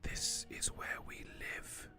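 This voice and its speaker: A man's voice: takes it slowly, sharp tone. Man playing a whispering, guarded male vampire. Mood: guarded menace. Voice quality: breathy whisper. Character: menacing, quiet male vampire who lurks and follows. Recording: music behind the voice.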